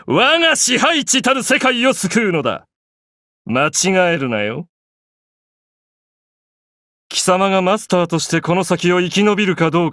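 An actor playing an arrogant, grandiose young pharaoh in an anime game, declaiming in an imperious, pompous way. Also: male voice, high voice, fairly bright tone, no echo, studio quality, exaggerated voice. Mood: proud, commanding.

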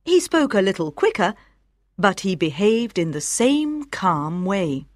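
A woman reading scripted audiobook narration, telling a story with clear articulation for language learners. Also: medium pitch, very animated, measured pace, dry recording, clean studio sound.

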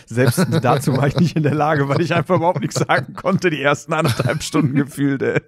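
A man's voice: on the high side, a little fast, breathy edge, dry recording. A man co-hosting a podcast, chatting in a blunt, colloquial way. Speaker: podcast co-host. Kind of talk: casual chat.

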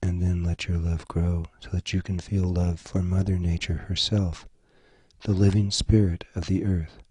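A man leading a guided meditation, giving gentle, soothing instructions in short phrases. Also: sits low, little pitch movement, moderate pace, slightly rough, no echo. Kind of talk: slow guided meditation narration.

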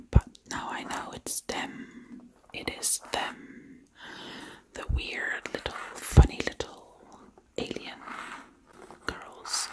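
A woman in her thirties whispers an ASMR story close to the mic, hushed, repeating words rhythmically. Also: rough recording. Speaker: ASMR creator. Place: small indoor room at home.

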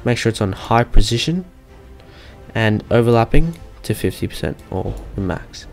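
A young man giving an informal, slightly hesitant step-by-step software walkthrough as a tutorial host. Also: fairly low pitch, animated, moderate pace, gravelly, soft background music. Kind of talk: casual software screen-recording walkthrough.

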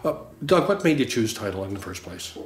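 A man's voice: lowish voice, big pitch swings, fairly quick. A man hosting an informal on-camera interview as the interviewer. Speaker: interviewer, host. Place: indoor listening studio. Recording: roomy sound with guitar music. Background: clear guitar music.

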